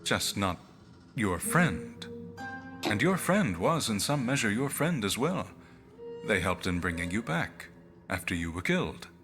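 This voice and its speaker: A very deep-voiced spirit of cast-off power speaks with formal, measured detachment. The visual novel character is calm and clinical even about killing. Music plays clearly behind the words. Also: male voice. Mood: measured, matter-of-fact.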